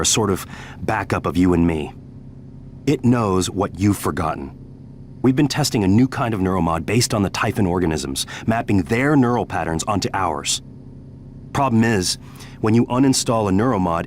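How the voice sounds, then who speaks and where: Game scientist: very deep, weary, candid, explanatory, slight background noise. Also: male voice, lively intonation, normal speed. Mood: frank, grave. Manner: matter-of-fact, explanatory. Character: weary, frank scientist leaving himself a video message. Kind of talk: video game voice acting.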